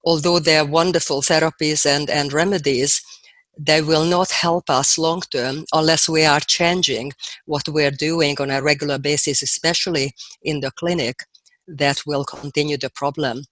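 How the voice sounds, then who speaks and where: A woman's voice: low-pitched, steady tone, moderate pace, a bit bright, no echo. An older woman from Hungary with a Hungarian accent, a guest dentist telling her story in an earnest, reflective way in a podcast interview.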